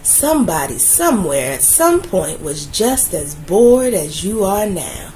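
A woman reading a children's picture book aloud in a gentle, expressive, soothing way. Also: fairly low pitch, unhurried, soft background music, poor audio. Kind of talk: read-aloud storytelling.

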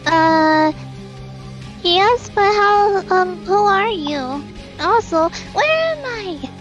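A woman voicing Marie, a young female angel fox, joining a game playthrough with soft, giggly chat. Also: very high voice, expressive, extremely slow, heavily acted. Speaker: co-player in a voiced let's play. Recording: slightly dull lo-fi audio with background music.

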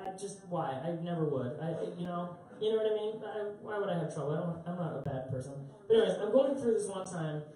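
Young guy doing standup, rambly and self-mocking, mellow voice, super echoey room, taped from far back. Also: high voice, slight background noise. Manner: rambling, self-mocking, laughs at his own stories. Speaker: stand-up comedian. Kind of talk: live stand-up storytelling. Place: small dim club stage, indoors. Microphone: handheld mic, heard through a far camera. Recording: roomy echo, distant live capture.

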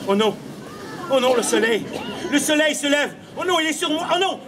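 A man's voice: very high-pitched, lively intonation, normal speed, a crowd in the background. A man voicing an obliging, easily panicked earthworm in a comic puppet show, speaking in short melodramatic phrases. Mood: helpful, then panicked. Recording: outdoor camera recording with audience noise.

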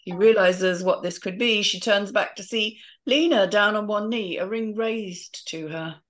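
A woman's voice: medium pitch, measured pace, fairly bright tone. A woman steadily reading the scene directions of a screenplay in a matter-of-fact way. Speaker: narrator reading stage directions.

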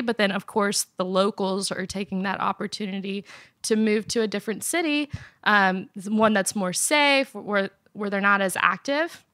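A young woman from Afghanistan, a podcast guest telling her life story in an earnest, steady way. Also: mid-range voice, no room sound, studio quality. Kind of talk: personal storytelling interview.

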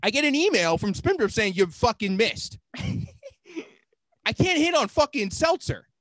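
A man's voice: very high voice, very animated, unhurried, a bit bright, dry recording. A young man co-hosting a podcast, telling an annoyed story, swearing often, talking over a video call from home. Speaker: podcast co-host. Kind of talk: casual chat. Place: small home room. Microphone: headset mic on a video call.

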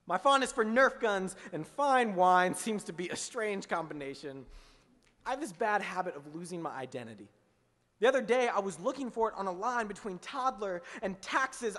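A man's voice: high voice, a bit bright, long reverb tail. Young man performing slam poetry. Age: young adult. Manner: rhythmic, emphatic delivery with sweeping hand gestures. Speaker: slam poet. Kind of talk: spoken word poetry performance. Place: small indoor stage in front of a window. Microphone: stand mic at mouth height.

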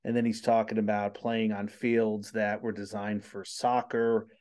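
A man's voice: on the low side, little pitch movement, a little slow, a little mellow. A man, hosting a casual interview over video call from a small home studio, chatty and rambling. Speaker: host. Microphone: studio mic on boom arm.

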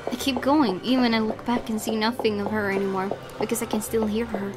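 A woman's voice: a little high, steady tone, quick pace, over music. A woman let's player reading horror game narration aloud, with casual reactions of her own.

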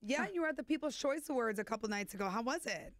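A woman's voice: fairly high pitch, lively intonation, fast talker, no echo. A woman co-hosting a morning radio show, chatty and gossipy with the guest. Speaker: radio co-host.

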